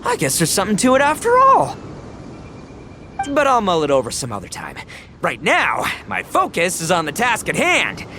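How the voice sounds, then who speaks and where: An actor playing a brash, hot-blooded young oni gang leader in a video game, boastful and given to bombastic declarations. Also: male voice, high-pitched, wildly swooping pitch, normal speed, sharp tone, a little hiss or hum, full character voice. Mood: boastful, eager.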